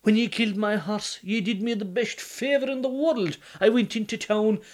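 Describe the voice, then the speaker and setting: A man's voice: sits high, steady tone, moderate pace, pitched above the performer's own voice, natural voice. An Irish man voicing a sly young farmer, a trickster who puts on theatrical wailing. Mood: boasting.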